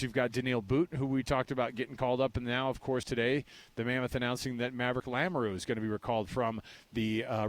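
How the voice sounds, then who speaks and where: A man's voice: mid-range voice, dry recording. An American man hosting live sports talk radio in a casual, conversational way with rambling asides. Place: live remote broadcast from a ballpark event.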